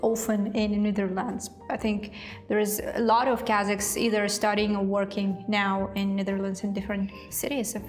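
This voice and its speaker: A woman's voice: medium pitch, level tone, normal speed, soft background music. A young woman hosting a cooking show who keeps the talk moving with short, friendly questions. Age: young adult. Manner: friendly, laughs often, short replies. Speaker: host. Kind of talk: casual chat on a cooking show.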